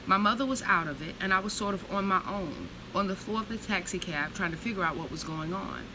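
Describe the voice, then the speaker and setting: A woman in her thirties reads slowly and reflectively from a book, then slips into blunt, profane, casual storytelling. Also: medium pitch, noisy background. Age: 30s. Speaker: vlogger reading from a book.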